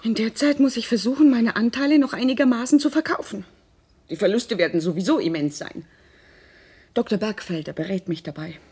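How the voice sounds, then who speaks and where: An actress playing an earnest middle-aged mother who confides in her grown son, deliberate and emphatic, in a naturalistic radio drama. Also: fairly high pitch, warm tone, slightly rough. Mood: urgent.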